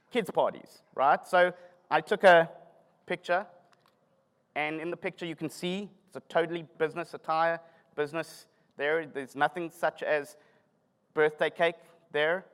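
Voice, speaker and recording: A man's voice: a little high, a bit bright, clean tone. A middle-aged man with a South African accent giving a self-deprecating, joking conference talk. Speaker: conference speaker. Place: conference hall. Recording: long hall reverb.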